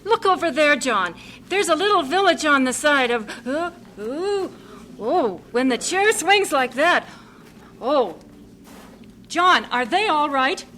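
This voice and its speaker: A woman's voice: high-pitched, expressive, slow pace, sharp tone, a little hiss or hum, exaggerated voice. An actress playing a spirited young woman missionary who speaks earnestly and frankly. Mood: excited. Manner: earnest, outspoken.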